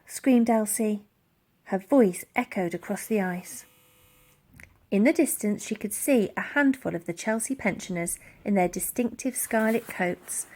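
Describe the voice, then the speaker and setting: A woman reading a children's story aloud in an expressive, dramatic storytelling style. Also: medium pitch. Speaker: audiobook reader.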